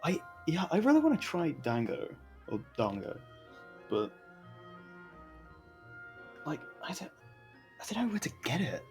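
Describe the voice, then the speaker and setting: A man's voice: a little high, huge pitch swings, unhurried, pauses often, darkish tone, faint music behind. A man doing casual live gameplay commentary as a streamer, rambling and informal with slangy phrasing. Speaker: game streamer.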